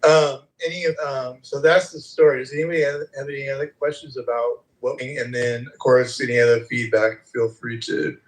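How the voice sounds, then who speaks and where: A man's voice: medium pitch, level tone, moderate pace, few pauses, low-quality recording. A man hosting a live audio chat room, talking loosely and rambling with many fillers. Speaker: room host and moderator.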